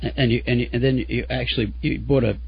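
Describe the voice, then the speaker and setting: A male radio host doing an interview, hoarse from fighting a cold, chatty and rambling with lots of fillers. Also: medium pitch, fairly even intonation, fast talker. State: losing his voice from a cold. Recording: dull lo-fi radio audio.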